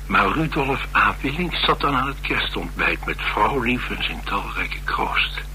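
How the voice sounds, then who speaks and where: A man's voice: deep voice, pushed and bright, full character voice, poor audio. A man with a Netherlands Dutch accent playing a blunt, world-weary chauffeur in a radio drama, confiding and sardonic. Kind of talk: radio drama acting, colloquial storytelling. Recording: radio drama with faint hum and noise.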